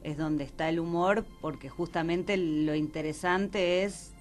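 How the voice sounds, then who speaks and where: A woman with a Rioplatense Argentine accent speaks in a fairly low register. She talks as an interviewed theatre director, explaining things conversationally and often ending with a small tag question seeking agreement. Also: steady tone, unhurried, faint music behind.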